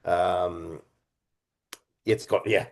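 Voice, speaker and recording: A man's voice: deep voice, fairly even intonation, very slow, creaky. Earnest man in a thoughtful interview. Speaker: interview guest, writer-director and actor. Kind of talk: conversational interview storytelling.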